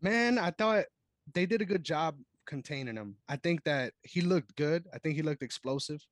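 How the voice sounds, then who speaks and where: A man co-hosting a sports podcast, giving measured, analytical takes in casual chat. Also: on the high side, lively intonation, no room sound, clean studio sound. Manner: measured, analytical, frequent "I think". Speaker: podcast co-host.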